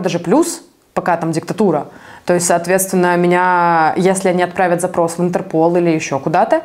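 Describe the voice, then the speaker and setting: A woman from Belarus answering interview questions as an activist, speaking conversationally and a bit haltingly as she thinks aloud. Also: on the low side, a little mellow.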